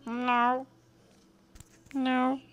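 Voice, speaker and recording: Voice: high voice, completely flat delivery, extremely slow, a bit bright, clean tone, voice pushed up, exaggerated voice. A story reader voicing a bookish, easily flustered young female cartoon pony who repeats words anxiously. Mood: embarrassed denial.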